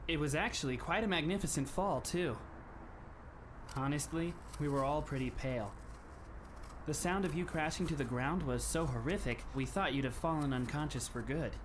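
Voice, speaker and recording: A man in an anime fan dub playing a polite, composed teenage schoolboy who speaks in formal, measured phrases with a faintly wry touch. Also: fairly high pitch, noisy background, lightly acted. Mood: calm, grave.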